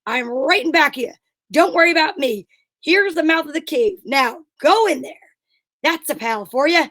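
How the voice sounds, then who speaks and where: A woman's voice: high-pitched, animated, normal speed, a bit bright. A woman playing a bossy, cajoling male straight man in an old-time radio comedy sketch. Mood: coaxing. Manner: commanding, cajoling patter. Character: bossy, wheedling straight man Abbott, adult male comic.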